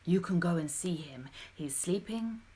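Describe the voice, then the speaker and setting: A woman reads as a huge angel of light from a children's storybook. He is gentle and reassuring, announcing his news with hushed solemnity. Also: fairly low pitch, lively intonation, measured pace, breathy edge.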